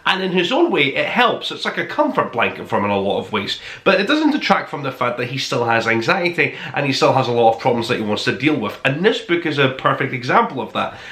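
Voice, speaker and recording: A man hosts a review podcast in a roomy, echoing space. His voice is bright and forceful, with lively swings in pitch. He talks straight to listeners in a conversational, enthusiastic way, leaning on his key words. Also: fast, few pauses. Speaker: podcast host and book reviewer. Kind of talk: conversational top-five review monologue to listeners.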